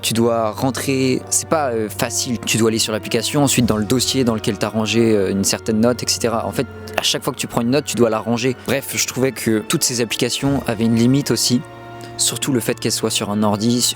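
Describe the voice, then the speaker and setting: A young man with a French accent, talking casually to camera in a vlog, relaxed and chatty with fillers and restarts. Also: mid-range voice, quick pace, soft background music. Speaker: vlogger.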